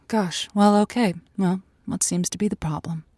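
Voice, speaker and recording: A woman's voice: medium pitch, takes it slowly, a little mellow, slightly rough, no echo, studio quality. A woman playing a thoughtful, sympathetic host of a conversational call-in advice show, with a hesitant, stammering delivery. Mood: sympathetic, careful.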